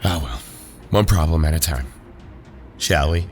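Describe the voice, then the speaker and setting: An actor playing a cocky, leering young armored villain in an audio drama, smug and taunting. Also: male voice, very deep voice, measured pace, faint music behind.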